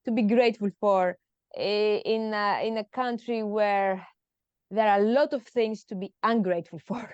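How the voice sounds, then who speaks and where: A woman's voice: medium pitch, steady tone, normal speed, smooth, dry recording, highs cut off. A woman in her thirties from Greece, speaking as a podcast guest in a hesitant, emotional way with many fillers. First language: Greek. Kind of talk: personal interview.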